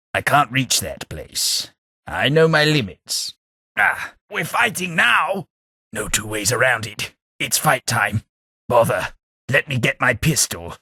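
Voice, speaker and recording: A man voicing a posh, sardonic British gentleman companion in a game, with a British accent. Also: on the low side, slightly rough, no echo, heavily acted. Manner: dry, wry, posh and sardonic. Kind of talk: game voice lines.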